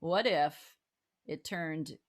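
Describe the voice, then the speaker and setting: A woman's voice: sits low, very slow. A woman hosting a talk show, chatting casually and with enthusiasm. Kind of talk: casual chat.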